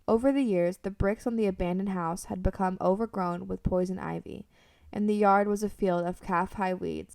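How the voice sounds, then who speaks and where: A woman's voice: mid-range voice, creaky, a little mellow, dry recording, recorded from a distance. A woman reading scripted story narration for a radio drama.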